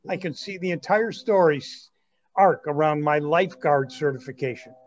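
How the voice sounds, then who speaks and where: A man's voice: a little high, normal speed, highs cut off, lightly acted. A young man playing an earnest, self-absorbed twenty-something grandson in a reader's theatre comedy. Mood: eager, self-absorbed.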